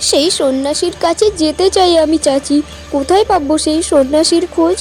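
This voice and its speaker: Woman doing a very high stubborn village girl, earnest cartoon big sister, music behind her. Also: brisk, a little mellow. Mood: pleading, determined. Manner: insistent, earnest. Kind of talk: cartoon voice acting, dramatic dialogue.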